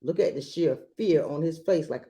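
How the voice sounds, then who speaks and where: A woman reading a children's picture book aloud expressively and gently, adding small asides as she goes. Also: sits low. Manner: gentle, unhurried, adding commentary to listeners.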